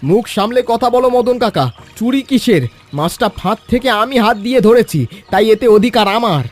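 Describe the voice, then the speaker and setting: High-pitched greedy, pushy village schemer. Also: male voice, animated, moderate pace, faint music behind. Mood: defiant, impatient. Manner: pushy, boastful, impatient. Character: greedy, lazy, deceitful young village man. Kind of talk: animated Bengali children's story acting.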